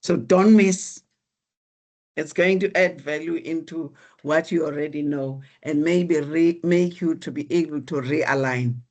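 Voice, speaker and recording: Slow-talking course facilitator. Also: medium pitch, darkish tone. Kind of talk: relaxed class feedback. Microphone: video call.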